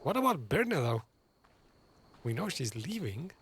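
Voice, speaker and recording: A man's voice: fairly high pitch, very animated, measured pace, very noisy. A man streaming a game, giving short, casual reactions and commentary over the action. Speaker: gaming streamer. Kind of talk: casual live gameplay commentary.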